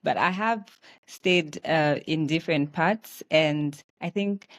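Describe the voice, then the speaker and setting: Female interview guest, Zambian accent, slightly low register, conversational storyteller. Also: slow pace. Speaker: interview guest, health professional.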